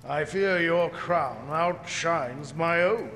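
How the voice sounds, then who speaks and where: An actor playing a stately, commanding duke in a fantasy video game, speaking formal archaic English in an echoey space. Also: male voice, on the high side, slow pace. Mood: lordly. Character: pompous, stately duke ruling a realm. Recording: echoey hall, loud music behind.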